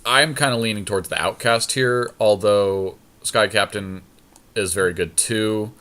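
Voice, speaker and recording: Blunt man with a low voice. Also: measured pace, fairly bright tone. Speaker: podcast co-host. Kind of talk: casual card game draft commentary.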